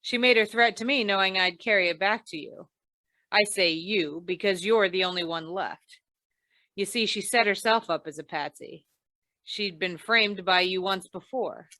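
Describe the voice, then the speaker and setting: A woman playing a tough, wry female insurance investigator in an old-time radio noir, clipped, deadpan and probing. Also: medium pitch, normal speed, no room sound, close to an everyday voice.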